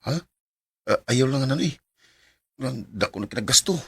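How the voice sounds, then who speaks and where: A man's voice: mid-range voice, lively intonation, normal speed, slightly rough, no room sound, studio quality. A young man playing an earnest, lovestruck suitor who is careful with money, in an amateur radio drama. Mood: cheerful.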